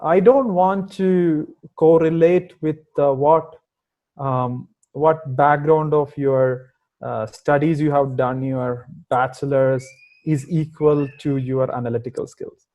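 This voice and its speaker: A man from India speaks with an Indian accent tinged with American, his voice a little on the higher side. He answers questions haltingly, full of fillers, then presses his points with emphatic asides. He is heard through a video call from an indoor room. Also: fairly even intonation, dark tone, poor audio. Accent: Indian with some American. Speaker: guest software engineering manager.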